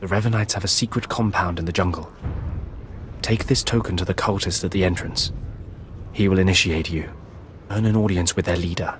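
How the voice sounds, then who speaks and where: An actor playing a scheming, deferential dark apprentice in a video game, speaking formally and persuasively in measured phrases. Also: male voice, deep voice, fairly even intonation, a little hiss or hum, natural voice. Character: scheming Sith apprentice, deferential servant of shadowy master. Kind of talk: video game cutscene acting.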